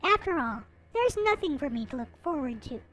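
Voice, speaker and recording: A woman reading a visual novel aloud in an expressive, theatrical way, with some casual commentary. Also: high-pitched, moderate pace. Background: background music.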